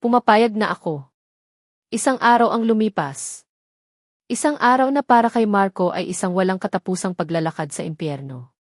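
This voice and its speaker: A woman storyteller narrates a scripted romance in a dramatic style. Her voice sits at a medium pitch. The sound is dry, with no noticeable room. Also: fairly quick, no room sound.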